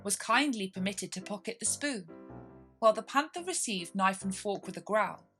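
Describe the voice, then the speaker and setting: A woman's voice: medium pitch, expressive, moderate pace, a bit bright, soft background music, close to an everyday voice. A woman voicing a polite, timid young girl in a storybook reading. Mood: nervous reciting.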